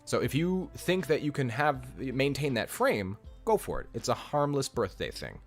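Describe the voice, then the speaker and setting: A man with a deep voice hosting a live stream, reading comments and giving blunt, direct relationship advice. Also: big pitch swings, moderate pace, soft background music. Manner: blunt, direct advice, repeats key points.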